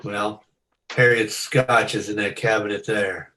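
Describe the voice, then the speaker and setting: A man's voice: on the low side, fairly even intonation, a little slow, bright, forceful tone. A man playing a self-assured, menacing casino boss in a radio detective play, terse and commanding. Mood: amused. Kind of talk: old-time radio detective drama acting.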